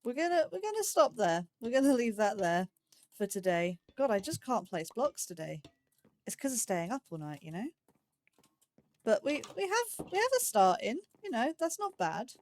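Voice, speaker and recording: A woman's voice: on the high side, big pitch swings, a little mellow. Chatty woman narrating her block building. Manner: chatty, talks to herself while building, quick yelps when startled. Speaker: let's play host. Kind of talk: casual gameplay commentary.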